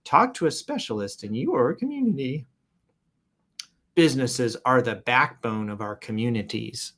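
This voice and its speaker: A man's voice: mid-range voice, expressive, measured pace, a bit bright. A man hosting a show, casually reading posts aloud and improvising commentary with playful announcer flourishes and wry asides.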